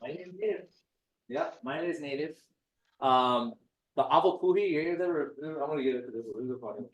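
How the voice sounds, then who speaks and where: A man's voice: mid-range voice, a little fast, distant mic, lo-fi sound. Man chatting in an echoey room. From Hawaii. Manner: chatty, joking, digressive. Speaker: guest speaker. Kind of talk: casual lecture talk.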